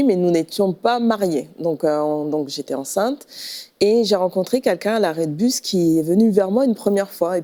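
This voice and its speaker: A middle-aged woman from the Paris region with a French accent, telling her personal testimony conversationally, often pausing to rephrase. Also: lowish voice, warm tone. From Paris region, France. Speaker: pastor, guest giving testimony.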